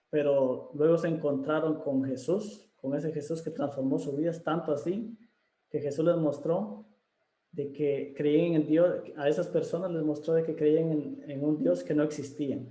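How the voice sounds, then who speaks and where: A man hosts a casual video interview, pausing often with fillers and starting his sentences over. His voice sits fairly high for a man, with a dark, mellow tone. The recording has a noticeable roomy echo. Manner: hesitant, many fillers and restarts. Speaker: interview host.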